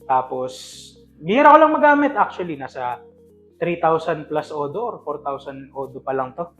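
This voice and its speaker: A man's voice: on the high side, lively intonation, moderate pace, fairly bright tone, highs cut off, faint music behind. A man with a Filipino accent telling stories casually as a podcast co-host, mixing in English.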